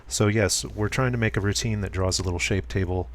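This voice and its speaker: A man casually talking through his code during a live programming stream, speaking softly and smoothly with frequent ums and self-corrections. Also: fairly low pitch, brisk. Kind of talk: casual live-coding walkthrough.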